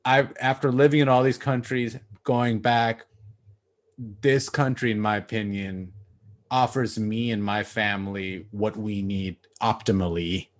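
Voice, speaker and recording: A man from Bangladesh in his late thirties with a fairly low voice, talking loosely as a podcast guest. He fills his talk with lots of likes and you-knows and laughs easily. He sounds like he is on a video call. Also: unhurried. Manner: relaxed, laughing, lots of fillers. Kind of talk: casual interview chat.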